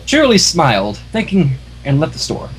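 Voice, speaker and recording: A man's voice: a little high, wildly swooping pitch, moderate pace. A man informally reading a fan story aloud on a livestream, adding his own offhand comments. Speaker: livestream fanfiction reader. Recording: noisy home livestream microphone.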